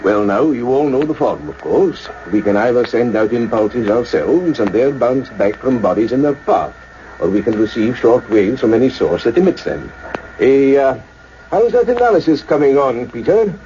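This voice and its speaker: A man acts a senior scientist in a radio play, genial and expansive. His mellow delivery rises and falls widely as he explains with lyrical flourish. The recording is muffled with some noise. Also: mid-range voice, lively intonation, moderate pace, lightly acted. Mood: keen, wry. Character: genial senior radio astronomer showing visitors round, eager and uneasy. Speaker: research scientist. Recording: noisy, dull radio drama recording.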